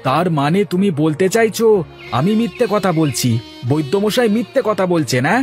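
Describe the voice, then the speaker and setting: A man's voice: sits high, animated, moderate pace, faint music behind. A man voicing a cunning, pushy village trickster in a cartoon moral story. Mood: threatening.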